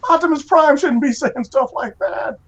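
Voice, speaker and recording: A man's voice: extremely high pitch, normal speed, fairly bright tone, lo-fi sound. A man chatting casually and joking on a live drawing stream, laughing often at his own remarks. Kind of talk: casual chat while drawing.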